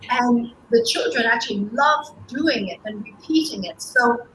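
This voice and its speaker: A woman's voice: fairly high pitch, lively intonation, measured pace, echoey room, slight background noise, rough recording. A woman born and raised in Hong Kong, whose first language is Cantonese, talking fluently with frequent restarts as a school co-founder on a video call. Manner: warm, fluent with many restarts and ums. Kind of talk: interview on a livestream.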